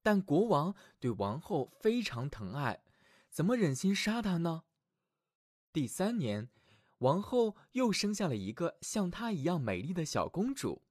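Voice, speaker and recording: A man tells a fairy tale as a storyteller for children. His scripted narration is dramatic and clearly phrased, with a pitch that rises and falls widely. The recording is dry and close. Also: very animated, measured pace, darkish tone, dry recording. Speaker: children's storyteller, show host.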